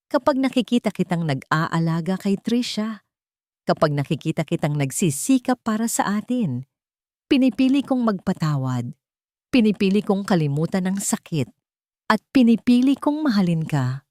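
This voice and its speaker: A woman voicing a gentle, forgiving young wife and mother with a sincere, measured delivery. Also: medium pitch, sing-song, no room sound, studio quality, close to an everyday voice. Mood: tender, sincere.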